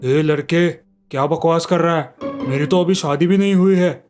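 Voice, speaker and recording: A man voicing a grumpy, lazy young village fisherman with a blustering, exclamatory delivery in an animated fairy tale. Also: a little high, normal speed, soft background music, lightly acted. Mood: annoyed.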